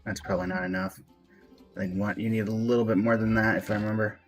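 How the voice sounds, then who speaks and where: A man's voice: lowish voice, little pitch movement, fast. A man giving casual live-stream game commentary as the host, with a dry, resigned way of talking. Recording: music playing behind the voice. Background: background music.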